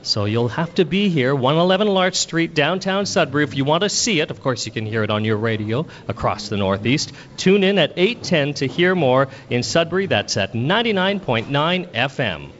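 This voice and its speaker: A man's voice: on the high side, sing-song, moderate pace, few pauses, fairly bright tone, highs cut off, a little hiss or hum. A man presenting a live local radio show as host.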